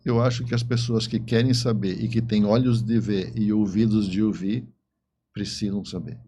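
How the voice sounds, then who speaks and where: A Brazilian man with a mellow, soft-edged voice talks casually as an interview guest. He explains things step by step, repeating words while he finds the next one. There is some noise behind him. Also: fairly low pitch, steady tone, normal speed. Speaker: guest author. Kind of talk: casual podcast conversation.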